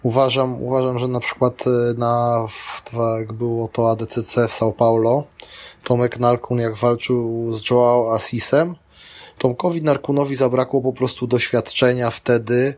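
Middle-aged guy chatting loosely, lots of restarts and fillers, mid-pitched voice. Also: flat delivery, normal speed, darkish tone. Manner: rambling, many restarts and fillers. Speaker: guest, grappling athlete and coach. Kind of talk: casual interview chat.

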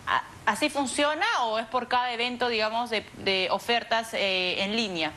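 A woman's voice: fairly high pitch, normal speed, sharp tone, slight background noise. A young woman with a Peruvian accent hosting a TV segment, asking questions and reading out viewer queries conversationally. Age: young adult. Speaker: TV news host.

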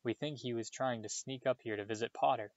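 A man reading as a stern, brisk older woman professor in a storybook, concerned and quick to ask questions. He keeps close to his own middle voice. The audio is slightly dull and dry. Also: mid-range voice, fairly even intonation, dry recording, close to an everyday voice.

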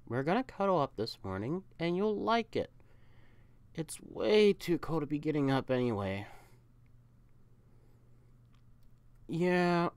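Woman voicing a low, cuddly catboy boyfriend. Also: a little mellow, no echo. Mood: clingy, teasing. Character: affectionate, teasing young catboy boyfriend, cuddly and protective. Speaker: audio roleplay performer. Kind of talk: intimate ASMR boyfriend roleplay.

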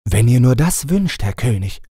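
A man's voice: medium pitch, very animated, normal speed, dark tone, husky, no room sound, clean studio sound, pitched above the performer's own voice. A man voicing a cheerful, carefree young man in a fairy-tale audiobook, blithe and courteous. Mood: blissful.

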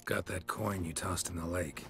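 A man playing a gruff, stoic, grizzled monster hunter in a fantasy game, terse and deadpan. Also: low voice, moderate pace, over music. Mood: dry.

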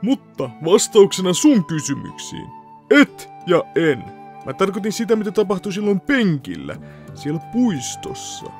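A man's voice: high voice, wildly swooping pitch, faint music behind, exaggerated voice. A man playing a cocky, shameless teenage boy swordsman in an anime parody dub, casual and smug. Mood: deadpan.

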